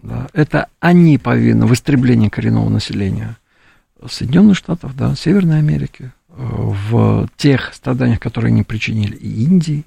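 Gravelly, husky man giving political opinions. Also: mid-range voice, animated, warm tone, no echo. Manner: halting, peppers speech with appeals to the listener. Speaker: studio guest being interviewed. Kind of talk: live political interview, opinionated commentary. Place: radio studio.

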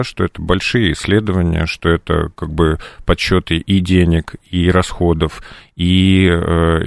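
Very deep gravelly man in his forties. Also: no echo, clean studio sound. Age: 40s. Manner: measured, hesitant, filled with "er". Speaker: doctor guest. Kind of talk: explanatory interview answers.

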